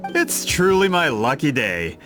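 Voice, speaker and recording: An actor playing a genteel, eager book merchant in a video game, with a polished, flattering, theatrical delivery. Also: male voice, mid-range voice, measured pace. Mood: persuasive. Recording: music under the voice.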